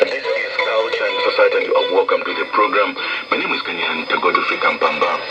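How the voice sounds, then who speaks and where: A man's voice: deep voice, fast talker, muffled recording, over loud music. A man presenting a scripted radio feature as programme host, heard on shortwave radio. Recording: very noisy shortwave radio reception.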